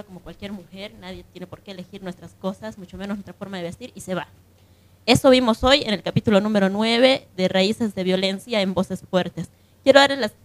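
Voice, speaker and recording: Woman radio host, mid-range voice. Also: mid-range voice. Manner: checks in with tag questions. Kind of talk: unscripted recap and discussion of a radio drama. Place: radio booth.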